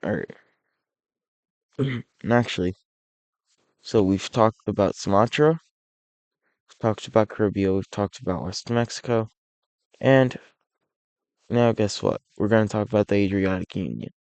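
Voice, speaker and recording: A man with a low, gravelly voice talks through a map video in a relaxed, wandering way, stopping and restarting as he goes. He sounds hoarse and a little unwell. The recording is dry and close, with no room sound. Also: a little slow, long pauses, dry recording. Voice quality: raspy. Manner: rambling, with frequent restarts and self-corrections. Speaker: alternate-history map series creator. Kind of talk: casual map narration.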